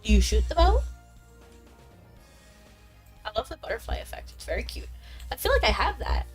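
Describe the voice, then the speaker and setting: A young woman streaming a game and chatting casually with viewers, bubbly and chatty, a little congested from being sick. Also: a little high. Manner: bubbly, chatty, quick bursts of excitement. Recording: faint background game music.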